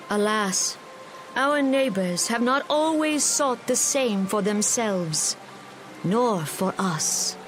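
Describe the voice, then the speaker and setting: Gentle solemn forest elder, fantasy game, higher-pitched, slow formal delivery, music behind. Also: female voice. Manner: measured, stately, formal. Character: gentle, solemn female forest elder leader addressing her people. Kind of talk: ceremonial speech in fantasy game.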